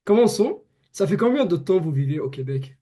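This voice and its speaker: A man conducts an interview for a school project, reading each question from his script. His voice is mellow and sits somewhat high for a man. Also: very animated, brisk, slightly rough. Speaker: student interviewer.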